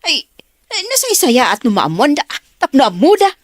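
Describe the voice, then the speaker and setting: A woman acting an excitable gossip in an audio drama, with a Filipino Ilocano accent. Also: high voice, moderate pace, dry recording, clean studio sound. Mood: flustered.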